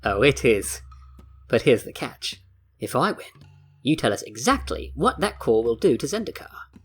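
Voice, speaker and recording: A man acts out a sly fantasy rogue, a playful trickster who talks like a showman. His pitch leaps and dips dramatically, over a soft bed of music. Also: big pitch swings, normal speed, natural voice. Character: smirking male trickster rogue, playful card-game host. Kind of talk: acted audio fiction dialogue.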